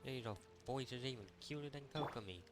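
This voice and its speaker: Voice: mid-range voice, a little fast. A streamer giving casual, rambling commentary on a game. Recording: loud game music behind.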